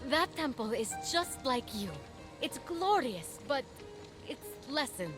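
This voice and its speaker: Casting: young mortal hero for animated game, earnest and encouraging, high voice. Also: female voice, takes it slowly, music in the background, full character voice. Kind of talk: animated video game acting.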